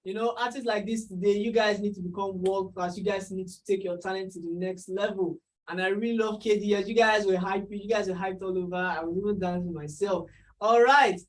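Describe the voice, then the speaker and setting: A woman's voice: mid-range voice, fairly even intonation, normal speed. A woman co-hosting a live dance contest, upbeat and hyping up the crowd. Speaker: event co-host. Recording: voice distant from the mic.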